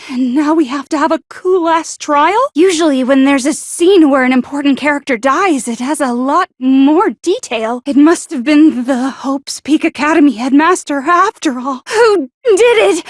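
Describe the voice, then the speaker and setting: An actress playing a paranoid, lovestruck teenage schoolgirl with a sharp tongue in an anime video game, stammering and accusatory in her dramatic delivery. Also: high-pitched, no room sound, studio quality. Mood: indignant, shrill.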